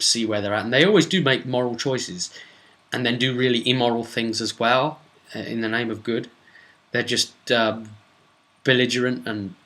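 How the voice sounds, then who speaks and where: British man, bright-voiced, chatty rambling host. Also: mid-range voice. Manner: rambling, chatty, acts out bits of dialogue. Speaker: host and game master. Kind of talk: casual unscripted recap of a tabletop role-playing game session.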